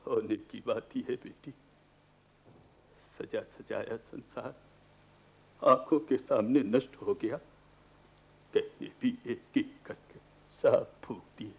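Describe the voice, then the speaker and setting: A man's voice: medium pitch, slow pace, gravelly, pauses often. An actor playing an older, ruined lawyer and affectionate father in a radio play, speaking with poetic, ironic self-mockery. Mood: sorrowful, resigned. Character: ageing, embittered but affectionate father, a ruined lawyer given to verse. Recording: muffled archival radio recording.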